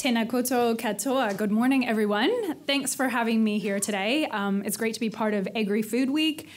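A woman from Canada with a Canadian accent, giving a conference talk from a stage, quick and chatty with plenty of ums. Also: fairly high pitch. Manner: fast-talking, many ums, self-deprecating jokes. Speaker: presenter. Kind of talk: conference presentation. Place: large hall with stage and audience.

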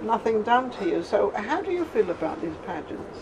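A woman's voice: medium pitch, big pitch swings, normal speed. Older english lady host, rambly and laughy, some noise behind her. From England. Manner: chatty, rambling, laughs often. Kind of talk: casual TV interview. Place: studio set with sofa and flowers.

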